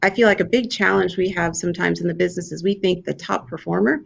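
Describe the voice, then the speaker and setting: A woman's voice: lowish voice, steady tone, fast, gravelly, slightly rough, lo-fi sound. A woman giving thoughtful remarks as a panelist in a corporate discussion.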